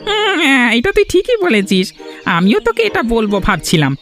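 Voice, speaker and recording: A woman's voice: fairly high pitch, wildly swooping pitch, moderate pace, a bit bright. A woman voicing a mocking, gossipy villager in a cartoon fairy tale, with an exaggerated delivery. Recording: music behind the voice.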